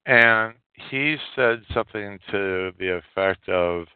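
A man hosting a solo podcast, giving unscripted political commentary in a halting way and repeating phrases for emphasis. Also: fairly low pitch, smooth, dry recording. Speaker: podcast host. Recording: muffled, tinny phone-like sound.

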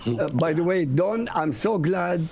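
A man from Iraq, a native Arabic speaker with an Iraqi Arabic accent, hosting a talk show in an emphatic, animated way. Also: fairly high pitch, measured pace. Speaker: host. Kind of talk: casual talk show chat. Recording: dull lo-fi audio with faint noise.

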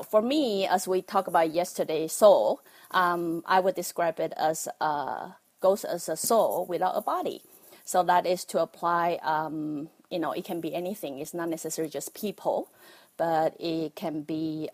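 A woman from Taiwan with a Taiwanese accent, talking casually on a livestream, halting with many ums and nervous laughs. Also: lowish voice. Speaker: livestream host, life coach.

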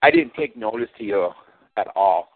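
A man's voice: fairly low pitch, huge pitch swings, moderate pace, creaky, husky. A man cutting a sarcastic, mocking wrestling promo, taunting his rival as champion. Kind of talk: trash-talking wrestling promo over a call. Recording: tinny phone line.

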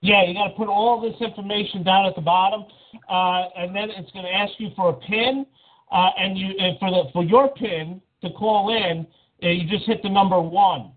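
A man co-hosting a call-in show in casual chat, halting and repeating phrases, heard over band-limited call audio. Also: sits high, fairly even intonation, phone-quality sound.

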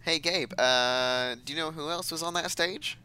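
A man co-hosting a casual podcast, telling deadpan ironic jokes. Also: on the high side, normal speed, a crowd in the background. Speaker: podcast co-host. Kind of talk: casual chat.

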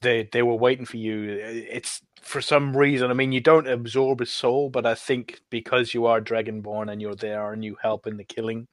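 A man's voice: medium pitch, level tone, normal speed, hardly any pauses, no echo, clean studio sound. A man on a podcast panel, explaining things tentatively and conversationally in a casual discussion of a video game.

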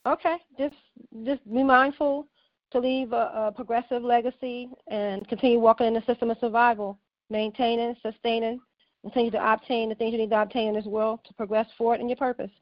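A woman calling in to a radio talk show, earnest and measured as she gives advice and plugs her show. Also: on the high side, little pitch movement, no echo. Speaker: caller and fellow radio host. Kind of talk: call-in radio chat. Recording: dry, dull lo-fi call-in line.

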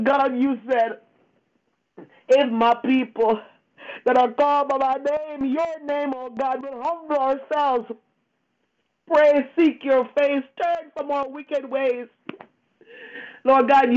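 High-pitched, slow, pleading prayer leader. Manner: pleading, emphatic, repetitive, with frequent invocations. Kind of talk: fervent extemporaneous prayer. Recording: dull lo-fi radio-like phone line.

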